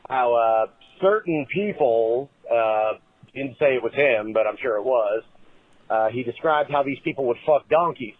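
A man telling a long story down a tinny, phone-like line, halting and filling pauses with "uh" as he restarts his sentences. The sound is dry, with no room around it. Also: medium pitch, no echo. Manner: halting, many fillers and restarts. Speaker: caller. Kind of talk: recorded voicemail story.

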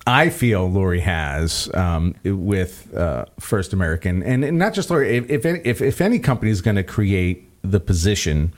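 A man with a moderately low voice hosts a relaxed, conversational interview. He is informal and wordy, working his way around to each question. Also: moderate pace, few pauses. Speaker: interviewer and host.

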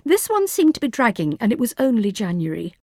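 A woman narrating fiction as an audiobook reader, in a measured, expressive storytelling manner. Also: on the high side, no echo, studio quality.

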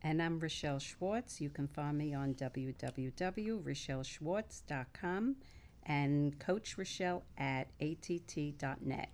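A woman's voice: sits low, lively intonation, unhurried, a little mellow. A woman co-hosting a radio talk show, asking questions in a direct, conversational way. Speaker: radio show co-host, interviewer. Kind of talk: conversational interviewing.

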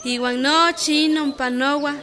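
A woman's voice: high-pitched, steady tone, unhurried, bright, forceful tone. An actress in a radio drama playing Esperanza, an earnest pregnant village woman. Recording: busy crowd noise behind.

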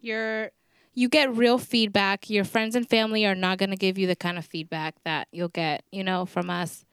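A young woman with a soft voice talks at a middle pitch, teasing and blunt as she chats on a podcast. Her voice sits close and dry on the mic. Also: fairly even intonation, normal speed, clean tone, no echo. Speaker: podcast co-host. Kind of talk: casual chat. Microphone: studio mic.

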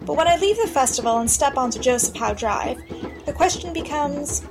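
A woman's voice: high voice, animated, a little fast, music in the background. A woman reporter giving scripted radio narration with a wry, lightly humorous delivery.

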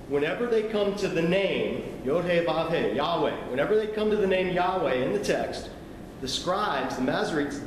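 A man explains a point of scripture in a chatty, teacherly sermon style and turns now and then to his listeners. His voice sits at an ordinary middle pitch. It rings out in a large hall with long reverb and clear background noise. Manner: conversational, explanatory, asides to listeners. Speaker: preacher. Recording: echoey hall, noisy ambience.

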